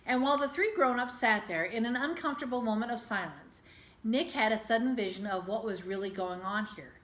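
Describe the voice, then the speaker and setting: A woman teacher reading a children's book aloud as an expressive storybook narrator. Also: fairly high pitch, fairly quick. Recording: echoey room.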